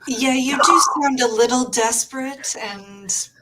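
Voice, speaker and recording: A woman's voice: high-pitched, a little slow, very noisy. A woman playing a tabletop role-playing game, chatting casually as she describes what her character does.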